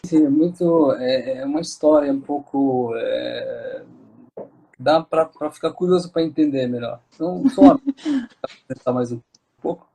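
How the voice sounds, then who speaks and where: A man's voice: medium pitch, animated, a little slow, vocal fry, warm tone, husky, poor audio. A Moroccan man speaking with a Moroccan accent, an interview guest talking casually with many fillers and restarts.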